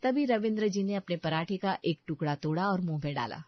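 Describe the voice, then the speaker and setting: A woman's voice: fairly low pitch, lively intonation, fairly quick, no echo, muffled recording. Woman story narrator, dry studio sound. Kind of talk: scripted Hindi story narration.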